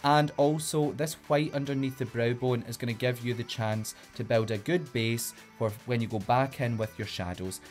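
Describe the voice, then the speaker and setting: A man's voice: medium pitch, steady tone, moderate pace, hardly any pauses, faint music behind. A man with a Glaswegian Scottish accent, a drag queen hosting a makeup tutorial in an upbeat, playful way and giving step-by-step instructions. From Glasgow, Scotland.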